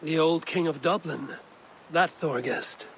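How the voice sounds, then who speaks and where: Serious viking warrior in a game, terse, slightly high voice, noisy ambience. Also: male voice, unhurried, fairly bright tone. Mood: firm. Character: steady Viking warrior, plain-spoken and questioning. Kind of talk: dramatic video game acting. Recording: clear ambient noise behind voice.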